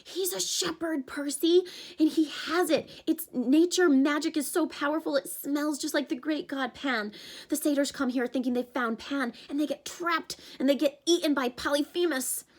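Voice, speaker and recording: A woman's voice: high voice, normal speed, pitched above the performer's own voice, natural voice. A woman voicing a jittery young male satyr who pleads and stammers in a panic. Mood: frantic.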